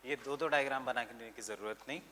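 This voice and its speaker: An Indian man lectures at a very rapid clip, sliding between Hindi and English. His voice is bright and forceful, and he drives points home by repeating key phrases and tossing out short check questions. The sound has long room reverb with some faint noise. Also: mid-range voice. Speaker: teacher, exam coaching lecturer. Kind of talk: classroom lecture on geography.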